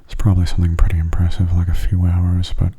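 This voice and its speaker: A man giving gentle, soft-spoken ASMR game commentary in a breathy, near-whispered voice, unhurried and close to the microphone. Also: low-pitched, monotone. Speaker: gaming channel host.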